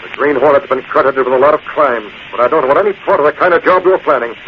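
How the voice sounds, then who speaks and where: An actor playing a calm, dry, resolute American masked vigilante in a 1940s radio drama. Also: male voice, medium pitch, fast, lightly acted. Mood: commanding, menacing. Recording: muffled, noisy 1940s radio recording.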